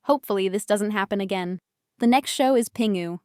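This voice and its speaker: Woman video host, casual reaction commentary, smooth clean voice, very dry sound. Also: medium pitch, a little fast, a little mellow, dry recording.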